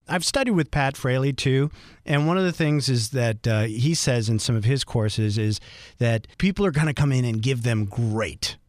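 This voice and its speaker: A man with a baritone voice hosting a podcast interview, asking polite, thoughtful, winding questions. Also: moderate pace, no room sound, studio quality. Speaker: podcast host.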